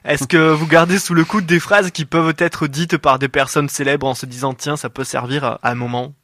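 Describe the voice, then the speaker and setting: A man's voice: on the high side, fairly even intonation, brisk, fairly bright tone, no room sound, clean studio sound. A male radio host introducing a guest and asking questions, partly read from a script, partly with hesitant fillers. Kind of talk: radio interview. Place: radio studio.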